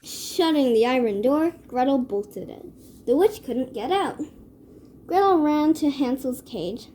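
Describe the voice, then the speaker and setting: A woman reads a children's story aloud in a measured way. Her voice sits fairly high but has a dark, mellow tone. There is a faint noise behind her. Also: lively intonation. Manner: measured read-aloud delivery. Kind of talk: scripted children's story narration.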